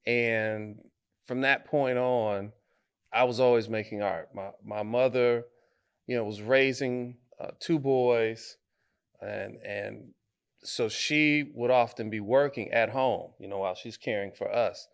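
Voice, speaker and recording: A man from Detroit, a guest artist, answering interview questions in a thoughtful, halting way, with many fillers and restarts. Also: mid-range voice, creaky, clean tone. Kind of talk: conversational interview.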